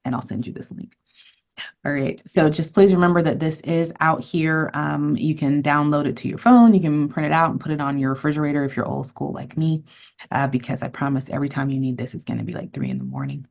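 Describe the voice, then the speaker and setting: A woman's voice: sits low, animated, brisk, vocal fry, a little mellow, dull audio. A woman physician giving an educational webinar to patients, friendly and conversational as she answers questions and runs polls.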